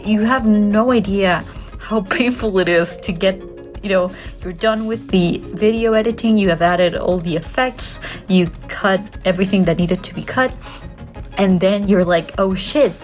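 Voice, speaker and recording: Woman in her 20s yapping to camera, laughs a lot, swears casually, soft music under it. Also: mid-range voice, measured pace. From Costa Rica. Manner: chatty, tangents, frequent laughs, casual swearing. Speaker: art student and content creator. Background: faint background music.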